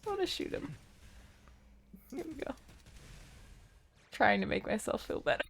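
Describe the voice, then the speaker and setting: A woman's voice: sits high, sing-song, moderate pace, creaky, slightly rough. A woman livestreaming a game, chatting casually and reacting to it. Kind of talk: casual stream chat and reactions. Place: indoor livestream setup, music behind.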